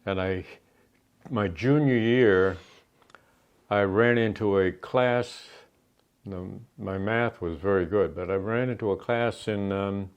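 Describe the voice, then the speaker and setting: An older man from New Hampshire with a New England accent, an Army veteran rambling through his life story with many pauses and fillers. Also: sits low, clean tone. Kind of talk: interview. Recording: dull lo-fi sound.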